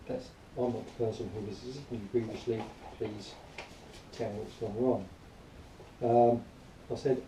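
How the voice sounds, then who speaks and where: Dark-voiced man, distant in an echoey room. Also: mid-range voice. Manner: rambling, matter-of-fact grumbling. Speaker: product reviewer. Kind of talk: informal spoken review, partly reading aloud. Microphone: camera at a distance. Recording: distant quiet mic, roomy echo, faint noise.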